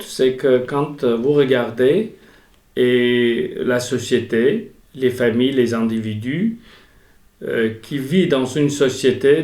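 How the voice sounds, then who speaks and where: A middle-aged man, a priest answering interview questions in a measured, reflective way. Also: mid-range voice, a little mellow, roomy sound. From Armenia.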